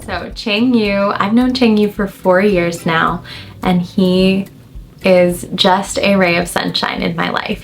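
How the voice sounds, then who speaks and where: A woman's voice: mid-range voice, gravelly, a little hiss or hum. A woman who works at a college, speaking earnestly in an interview about a student she mentors. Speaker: college staff member. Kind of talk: interview testimonial.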